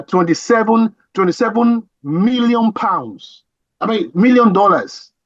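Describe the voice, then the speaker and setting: A man with a husky voice, giving emphatic political commentary with many restarts and repeated phrases. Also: sits high, a bit bright, no echo, lo-fi sound. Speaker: guest commentator.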